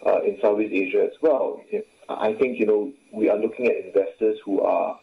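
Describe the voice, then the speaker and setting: A professor joining an interview over a remote link, talking haltingly and filling with "you know" constantly. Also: male voice, fairly low pitch, creaky. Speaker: professor, guest expert. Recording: tinny phone-like line.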